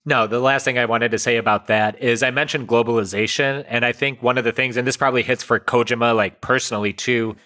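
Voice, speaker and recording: A man pushing forty, from the United States, talks through ideas in a thoughtful, explanatory way. His voice is bright and forward, with a middle pitch. The tone stays conversational, as in a relaxed podcast chat. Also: quick pace, hardly any pauses. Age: late 30s. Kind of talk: casual chat.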